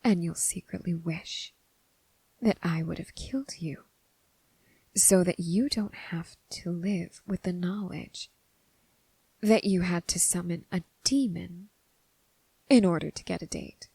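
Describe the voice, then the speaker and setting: A woman voicing a bored, mocking female demon in an ASMR roleplay, half-whispering in a languid, condescending way with a husky, creaky voice. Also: on the low side, warm tone, no room sound, full character voice. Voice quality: breathy, husky, with creaky fry. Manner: languid, condescending, drawn-out phrasing with sighs.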